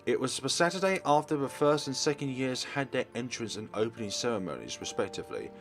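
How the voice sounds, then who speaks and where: A man's voice: mid-range voice, lively intonation, brisk, a bit bright, faint music behind. A man reading story narration aloud from a visual novel as a let's play host.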